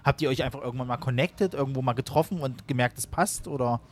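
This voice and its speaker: A man hosting a podcast, interviewing a band at a festival in a relaxed, friendly way and switching to English for one guest. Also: mid-range voice, fast talker.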